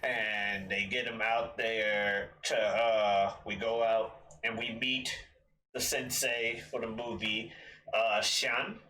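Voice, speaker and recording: Bright-voiced man retelling a movie. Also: fairly low pitch, takes it slowly, poor audio. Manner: animated retelling, acting out scenes. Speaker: podcast co-host. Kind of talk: casual movie discussion.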